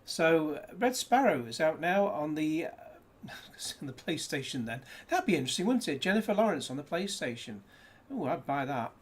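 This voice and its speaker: A man's voice: fairly high pitch, sing-song, normal speed, hardly any pauses. A man chatting casually through a disc unboxing, rambling with jokey asides, recorded indoors on a desk camera. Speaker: disc reviewer.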